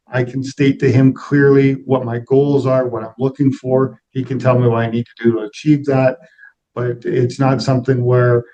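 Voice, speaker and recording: A middle-aged man speaks as an interview guest in a conversational register. His delivery is reflective and plain-spoken, with little rise and fall in pitch. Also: warm tone, a little hiss or hum, low-quality recording. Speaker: interview guest, aviation executive.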